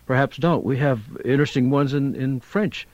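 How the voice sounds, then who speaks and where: A male linguistics professor, a guest on talk radio, who explains in a halting, scholarly way with examples. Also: medium pitch, fairly even intonation, no room sound. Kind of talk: radio interview. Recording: dull 1990s radio broadcast.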